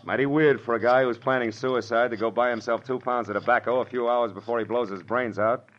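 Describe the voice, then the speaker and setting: An American actor playing a cocky, wisecracking private detective in 1940s radio noir, with wry, slangy, clipped delivery. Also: male voice, medium pitch, fairly even intonation, fairly quick, fairly bright tone, natural voice. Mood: confident, wry. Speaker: lead actor and narrator. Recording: dull, noisy old radio recording.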